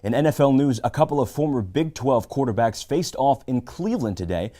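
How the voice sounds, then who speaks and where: A young man narrating sports news packages and reading a sportscast in a steady scripted delivery. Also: mid-range voice, brisk, slight background noise. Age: young adult. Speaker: student sports reporter.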